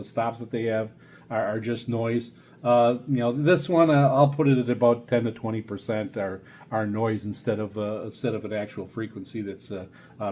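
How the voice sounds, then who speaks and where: An older man giving a casual, unscripted product review, halting and rambling as he repeats words. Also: medium pitch, a little mellow. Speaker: radio reviewer presenting a video series.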